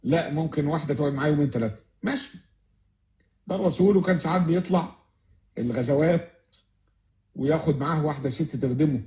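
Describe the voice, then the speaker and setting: A man who preaches at a very rapid, insistent pace. His voice sits a little high. It comes from a dull, echoey video recording. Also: darkish tone, a little hiss or hum. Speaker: preacher in a shown video clip. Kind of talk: religious explanation. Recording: dull, roomy video clip played back.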